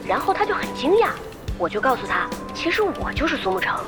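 A woman's voice: high voice, sing-song, fast, over music. A woman playing a sweet, playful young gamer in an audio drama, breezy and mischievous. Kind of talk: Chinese audio drama acting.

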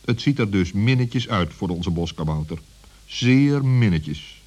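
A man with a husky voice narrating a scripted children's story as a storyteller. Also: fairly low pitch, lively intonation, a little slow, darkish tone.

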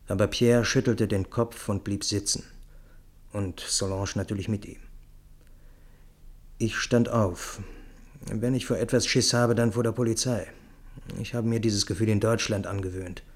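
Male narrator for literary radio play, first-person storytelling with quoted dialogue, lower-pitched voice.

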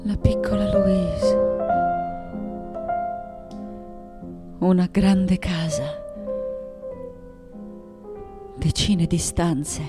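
An actress in a radio drama playing an elderly woman artist, intense and wounded, in a dramatic theatrical monologue. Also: lowish voice. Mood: haunted, reminiscing. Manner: dramatic, swinging from hushed to anguished.

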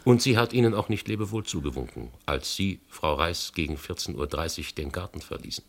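Radio crime drama inspector: methodical and probing, gravelly voice with widely swinging intonation, pressing a suspect deliberately. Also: male voice, low voice, animated. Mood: insistent, accusing. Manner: deliberate, insistent, reasoning point by point. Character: shrewd, methodical police inspector confronting a murder suspect. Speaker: actor.

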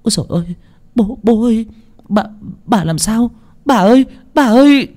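A man's voice: very high voice, expressive, moderate pace, darkish tone, voice pushed up. A man voicing a frightened young village girl, wailing and calling out repeatedly in a dramatised horror story. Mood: alarmed wailing. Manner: crying out, repeating calls.

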